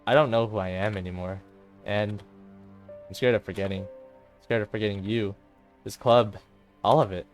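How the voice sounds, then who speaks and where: A man's voice: fairly low pitch, steady tone, normal speed, pauses often, faint music behind, close to an everyday voice. A man playing a despairing teenage girl club president, subdued, hesitant and self-blaming, in a visual novel drama.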